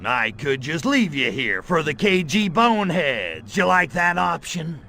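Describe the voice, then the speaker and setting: Cocky, sharp-voiced alien invader. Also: male voice, a little high, very animated, measured pace, gravelly, faint music behind, full character voice. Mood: sarcastic, irritated. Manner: sarcastic, swaggering, slangy delivery. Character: cocky, wisecracking male alien invader, impatient and menacing. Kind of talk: video game cutscene voice acting.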